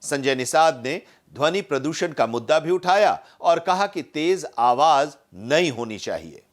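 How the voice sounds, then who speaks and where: A male news reporter reading a scripted voice-over in a steady, formal way. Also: a little high, unhurried.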